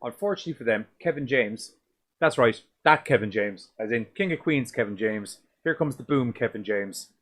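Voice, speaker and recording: Deep-voiced sweary podcast host. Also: male voice, lively intonation, normal speed, fairly bright tone. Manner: sweary, rambling, restarts often. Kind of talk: casual movie review chat.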